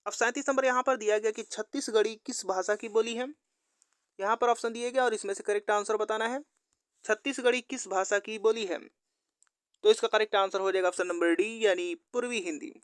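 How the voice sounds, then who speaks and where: A woman narrates an exam-practice lesson as a teacher. Her voice is smooth and clear at a middle pitch, with very little room sound. She is methodical and instructional, restating each question and answer. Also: steady tone, a little fast, dry recording, clean studio sound. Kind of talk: scripted quiz lesson narration.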